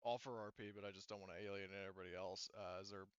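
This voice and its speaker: Guy game mastering, clear smooth voice, dry close sound, a bit dull. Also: low voice, fairly even intonation, very fast, dry recording. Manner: casual, explanatory. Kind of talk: running a tabletop roleplaying game.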